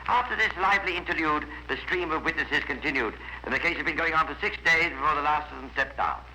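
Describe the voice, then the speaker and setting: A man's voice: fairly high pitch, fast talker, bright, forceful tone. An actor playing a composed, wry male narrator of a scripted 1950s radio crime drama. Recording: noisy vintage 1950s radio recording.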